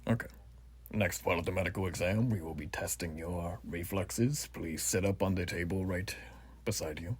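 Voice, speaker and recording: A man's voice: deep voice, normal speed, a little hiss or hum, lightly acted. A man playing an earnest, proud revolutionary army officer in a roleplay, speaking to the listener in a formal, rambling, stately way. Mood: brisk, clinical. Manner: formal, rambling, theatrically stately, hushed asides. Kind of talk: roleplay voice acting addressed to the listener.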